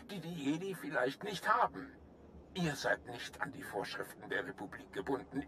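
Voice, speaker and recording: Game role: grave alien general, bright commanding tone, formal and courteous, noisy ambience. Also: male voice, mid-range voice. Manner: formal, measured, earnest. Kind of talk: German video game voice acting.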